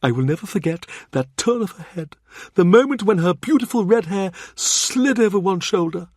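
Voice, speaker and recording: A man's voice: sits high, expressive, moderate pace, darkish tone, no room sound, studio quality. A man voicing an urbane, shrewd older cardinal who reminisces in a genial, confiding way. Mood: animated. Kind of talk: audiobook character reading.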